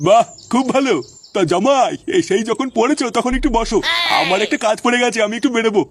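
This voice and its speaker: A man voicing a fussy, sharp-tongued old village grandfather in a comic cartoon, bossy and cartoonishly high-pitched. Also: quick pace, creaky, slight background noise. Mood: sly, stingy.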